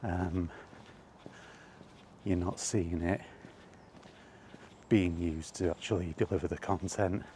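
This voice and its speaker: A man giving a casual, halting opinion monologue to a vlog audience, rambling along in short phrases while out walking. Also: low-pitched, fairly even intonation, dark tone, a little hiss or hum. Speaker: video blogger. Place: outdoors, walking.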